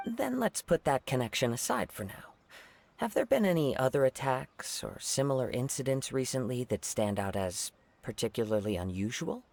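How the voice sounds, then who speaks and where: An actor playing a calm, courteous young wandering samurai in video game dialogue, speaking politely and formally. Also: male voice, medium pitch, moderate pace, creaky.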